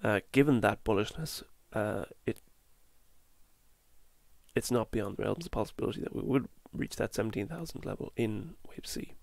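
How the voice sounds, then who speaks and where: Gravelly man losing his voice. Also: on the low side, animated, darkish tone, no echo. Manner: halting, fillers, self-correcting. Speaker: market analyst. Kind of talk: chart walkthrough.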